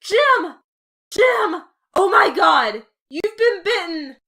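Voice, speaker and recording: A woman's voice: very high voice, very animated, takes it slowly, vocal fry, sharp tone, heavily acted. A woman playing a frightened, emotional survivor in a zombie horror comic dub. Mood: alarmed, frantic.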